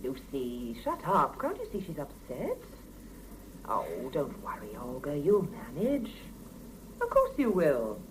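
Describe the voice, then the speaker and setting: A woman's voice: sits low, wildly swooping pitch, unhurried, a little hiss or hum, exaggerated voice, poor audio. An actress in a 1970s radio play voicing an outspoken, sardonic Soviet working mother with a teasing, emphatic delivery, on an old cassette recording. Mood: playful, persuasive. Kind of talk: 1970s radio drama acting.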